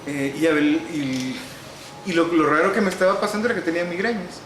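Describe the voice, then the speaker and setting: A middle-aged man from El Salvador with a Salvadoran Spanish accent, talking through his life as a writer in an unhurried, reflective way, pausing on "eh" and adding wry humor. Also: a little high, fairly even intonation, fairly bright tone. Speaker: interviewee, novelist and musician. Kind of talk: relaxed recorded interview. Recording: echoey room with air-conditioning hum.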